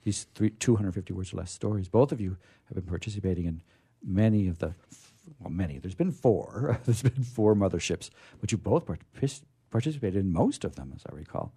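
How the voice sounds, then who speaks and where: A man's voice: deep voice, expressive, fairly quick, warm tone, slightly rough. A man hosting a radio show in a casual, enthusiastic, rambling way, often repeating his phrases.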